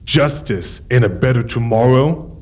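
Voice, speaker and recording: A man playing a cold, regal devil tyrant in an audio drama, speaking with pompous, measured menace. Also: medium pitch, lively intonation, a little mellow. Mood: cold, commanding. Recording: faint background noise.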